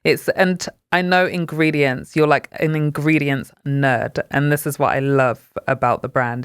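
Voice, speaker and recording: A woman's voice: extremely low pitch, clean tone, dry recording, studio quality. A woman hosting a podcast interview, asking questions with enthusiastic, affirming remarks. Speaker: podcast host. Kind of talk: interview chat.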